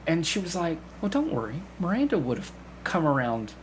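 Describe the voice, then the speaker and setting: A man's voice: a little high, wildly swooping pitch, fairly quick, poor audio. Middle-aged man chatting over background noise. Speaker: podcast guest, amateur stage actor. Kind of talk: casual interview chat.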